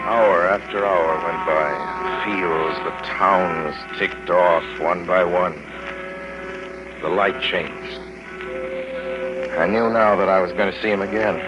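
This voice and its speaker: Low-voiced, haunted driver, old radio. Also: male voice, fairly even intonation. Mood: brooding dread. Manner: measured, dramatic, deliberate phrasing. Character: uneasy, haunted male driver telling his eerie story. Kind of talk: 1940s radio acting, first-person suspense narration. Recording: dull old radio recording, loud music behind.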